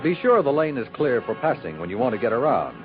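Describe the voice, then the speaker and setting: An American man reading scripted announcements in a formal, measured 1950s radio announcer style. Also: mid-range voice, big pitch swings. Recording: tinny 1950s radio recording with music.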